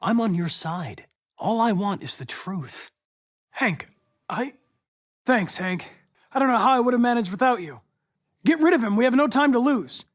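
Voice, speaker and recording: A man with an American accent playing a polite, determined young android police investigator in a video game, speaking in a precise, formal, measured way. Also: very high voice, animated. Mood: earnest, probing, then firm, cold. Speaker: actor voicing a video game character.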